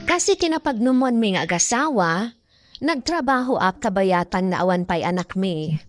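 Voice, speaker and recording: A woman's voice: mid-range voice, expressive, normal speed, no echo, clean studio sound, lightly acted. A woman with an Ilocano accent playing a devoted daughter who narrates her life story in a radio drama. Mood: reflective. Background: music under the voice.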